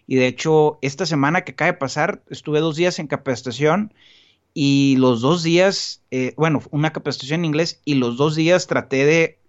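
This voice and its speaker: A man's voice: on the high side, moderate pace, dull audio. A man in casual podcast conversation as a guest, talking earnestly and rambling with frequent restarts and fillers. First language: Spanish.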